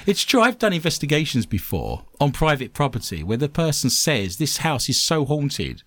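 Animated joking male podcast co-host. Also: a little high, moderate pace. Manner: playful, jokey, puts on silly voices and puns. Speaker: co-host of a paranormal news podcast. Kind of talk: joking casual podcast chat.

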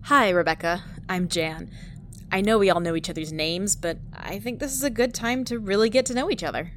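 Woman acting friendly, medium-pitched starship crew scientist. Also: a little fast, slight background noise, natural voice. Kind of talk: sci-fi audio drama acting.

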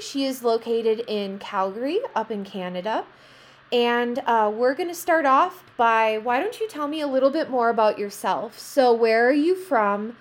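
Higher-voiced woman hosting a podcast. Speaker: podcast host. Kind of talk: casual conversational interview.

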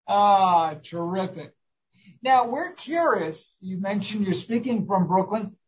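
A man's voice: high voice, animated, slow pace, rough recording. A man hosting a talk show, interviewing a guest in an earnest, formal, scripted-sounding manner. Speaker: host.

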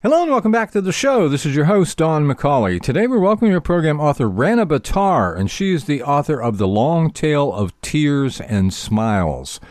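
A man's voice: a little high, very animated, moderate pace, hardly any pauses, a little mellow, dry recording, clean studio sound, soft background music. A man hosting an author interview show with polished, scripted presenter delivery and short questions to his guest.

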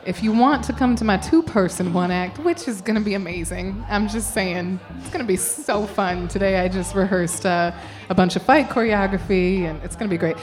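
A woman's voice: medium pitch, moderate pace, few pauses, a little mellow, soft background music. A young woman, an actor guest, talking casually in a radio interview and laughing often. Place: storefront music bar.

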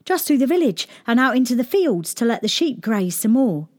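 A woman's voice: fairly high pitch, sing-song, brisk, darkish tone, dry recording, studio quality, voice pushed up. A woman voicing a cheeky, friendly shepherd boy in a storybook. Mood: cheerful. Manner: easygoing, teasing.

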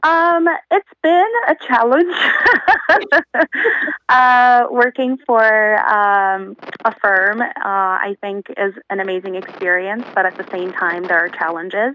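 A woman in her twenties from Uzbekistan, a guest speaking thoughtfully from home, pausing with fillers. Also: medium pitch, muffled recording. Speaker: guest, accounting professional. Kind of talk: casual remote interview. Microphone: remote call from home.

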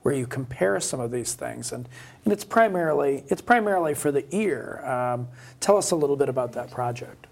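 A man's voice: mid-range voice, animated, fairly quick, gravelly. A man co-hosting a podcast, interviewing in a conversational way. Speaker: podcast co-host.

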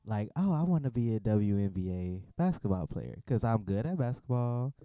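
A man with a low, warm, smooth voice talks loosely and casually, looping through fillers and quick asides. The sound is dry and close-miked. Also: very animated, no echo, muffled recording. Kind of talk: casual conversation.

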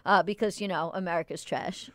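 A woman's voice: on the low side, steady tone, a little fast, fairly bright tone, no room sound. A woman co-hosting a casual news talk show, sarcastic and indignant about the stories she brings. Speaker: podcast co-host. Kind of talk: casual political chat.

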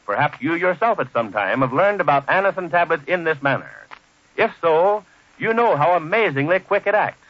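A muffled old radio recording of an American man announcing. His voice is fairly high and very animated. He delivers scripted copy with formal, emphatic salesmanship. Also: moderate pace, no echo.

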